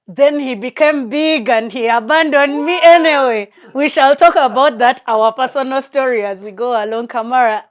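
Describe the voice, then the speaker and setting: A woman's voice: high-pitched, moderate pace, hardly any pauses. A woman hosting a talk show, introducing and teasing her guest with a playful, laughing manner. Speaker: host.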